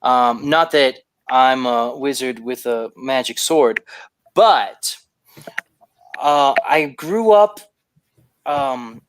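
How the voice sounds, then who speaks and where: A man being interviewed as a writer, giving thoughtful, explanatory answers in a halting conversational way, repeating words as he forms his thoughts. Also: mid-range voice, noisy background. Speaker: guest, fiction writer.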